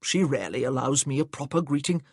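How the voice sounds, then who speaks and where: A narrator voicing a hearty, rambling, nearsighted older gentleman in a period audiobook. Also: animated, fast talker, husky, no echo, studio quality. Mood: jovial. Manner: jovial, booming, rambling. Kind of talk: audiobook character voice.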